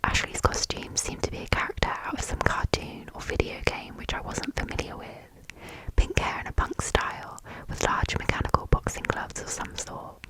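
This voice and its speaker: A woman reading a story aloud in a soft, fully unvoiced ASMR whisper, close to the microphone. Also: rough recording.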